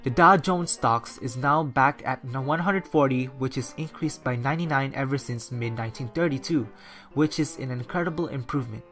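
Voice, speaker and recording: A narrator and newscaster reading a 1930s-style radio story and news report in a steady, scripted way. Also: medium pitch, normal speed, soft background music. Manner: steady, read-from-script delivery.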